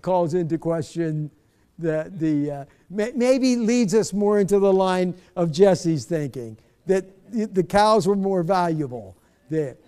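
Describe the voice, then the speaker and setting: A man's voice: sits high, lively intonation, unhurried, mellow, smooth. A middle-aged man, a pastor, teaching a bible study from a church stage in a conversational way, often repeating words as he searches for them.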